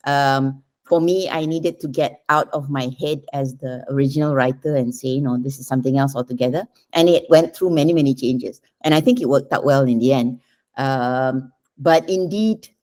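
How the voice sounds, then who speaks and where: A woman's voice: sits low, a little mellow. A woman talking reflectively in an interview as a writer, explaining and checking with little tag questions. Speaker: writer interviewed about adapting a short story.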